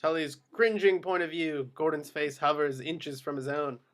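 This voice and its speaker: A man's voice: fairly high pitch, fairly even intonation, normal speed, a bit bright. A man reading screenplay action descriptions as scripted narration at a table read. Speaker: reader of stage directions.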